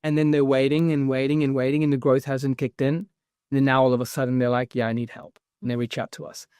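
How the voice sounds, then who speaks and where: A man's voice: mid-range voice, smooth, dry recording, studio quality. A man, talking energetically as a guest in a casual interview. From New Zealand. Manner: energetic, fast-flowing explanations with fillers. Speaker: guest, property investment founder.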